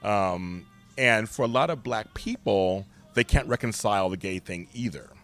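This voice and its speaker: Deep-voiced middle-aged man, animated. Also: moderate pace. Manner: animated, emphatic storyteller. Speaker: guest. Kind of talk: candid conversation.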